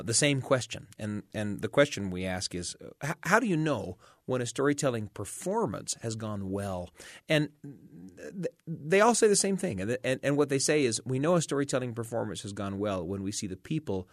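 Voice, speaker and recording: A man's voice: medium pitch, dry recording, studio quality. A man hosting a storytelling radio show in a conversational, thoughtful way, repeating words as he builds his point. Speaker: show host.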